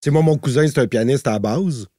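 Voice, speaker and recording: A man's voice: medium pitch, quick pace, dark tone, no echo, studio quality. A Québécois man around forty, co-hosting a podcast in a loose, rambling, slangy way. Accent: Québécois French. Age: 40s. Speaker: podcast co-host. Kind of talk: casual podcast conversation.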